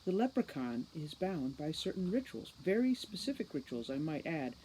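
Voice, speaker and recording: A woman's voice: low voice, big pitch swings, normal speed, mellow, a little hiss or hum, low-quality recording. A woman reading a storybook as a formal, condescending young boy mastermind who lectures impatiently. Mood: lecturing. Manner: formal, lecturing, precise diction.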